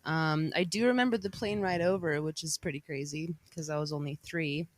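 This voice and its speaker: A young woman, born in Bosnia and raised in Colorado, chatting casually as a podcast guest, relaxed and hesitant with many ums. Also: low voice, a little fast, a little hiss or hum. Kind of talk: casual conversation.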